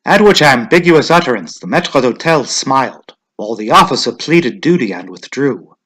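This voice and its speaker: Man, dramatic narrator, lively intonation, mid-pitched voice, scripted literary reading. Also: moderate pace, husky.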